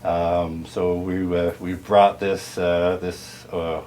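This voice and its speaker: A man giving an informal, technical product tour in a halting, explanatory way. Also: low-pitched, little pitch movement, a little mellow, a little hiss or hum. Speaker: audio dealer and turntable restorer giving a product tour.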